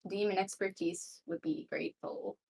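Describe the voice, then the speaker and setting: Husky, creaky-voiced woman in casual roleplay. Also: medium pitch, very animated, normal speed, a little mellow, rough recording. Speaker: player in a tabletop roleplay. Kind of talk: casual, improvised in-character chat.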